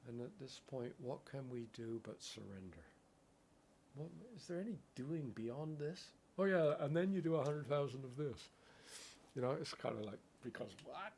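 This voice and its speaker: A man's voice: mid-range voice, animated, moderate pace, a little mellow, slight background noise. A man teaching in a contemplative dharma talk. He speaks in poetic phrases and often checks in with "right?". English is his first language. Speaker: Buddhist meditation teacher.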